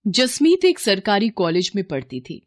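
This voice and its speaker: A woman narrating a scripted moral story in an expressive storyteller's style. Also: medium pitch, moderate pace, noisy background.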